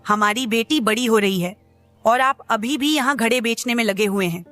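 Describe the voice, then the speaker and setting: A woman's voice: a little high, normal speed, a bit bright, soft background music, close to an everyday voice. A woman voicing a worried village wife and mother in a cartoon, insistent and reproachful. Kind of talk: Hindi cartoon story dialogue.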